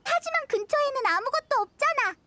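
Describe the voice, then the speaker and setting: A woman voicing a small, childlike, excitable flying companion in a video game, with expressive, exclamatory delivery. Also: very high-pitched, a little fast, no echo, full character voice. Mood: curious.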